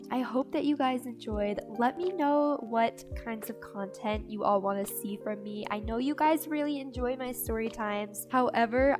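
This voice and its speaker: Young woman chatting a storytime, lots of likes and restarts, gets super incredulous, music playing clearly under her. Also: on the high side. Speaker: storytime creator. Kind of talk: casual storytime vlog. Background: background music.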